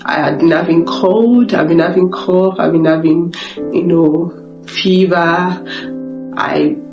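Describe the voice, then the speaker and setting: A young Nigerian woman vlogging about her recent illness, her voice weak and off from being sick. She talks haltingly and keeps repeating words and restarting. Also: fairly low pitch, highs cut off. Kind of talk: personal confessional vlog. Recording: echoey room with guitar music behind.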